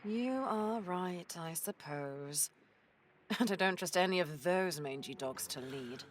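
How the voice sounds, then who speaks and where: A woman's voice: lowish voice, takes it slowly, a little hiss or hum. A woman playing a stern, sardonic female pirate captain in an audio drama, commanding and dryly sarcastic. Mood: firm, weary.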